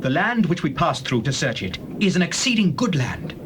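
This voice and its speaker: A man's voice: a little high, sing-song, moderate pace, noisy background. A man playing a hopeful, fervent scout urging faith in a dramatized scripture recording.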